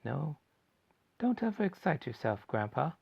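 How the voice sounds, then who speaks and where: A man voicing a calm, sensible mother who speaks softly and reasons gently. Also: a little high, unhurried, gravelly, husky, voice pushed up, heavily acted. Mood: soothing. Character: calm, sensible mother, middle-aged woman. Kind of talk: audiobook character voice. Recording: dull lo-fi recording.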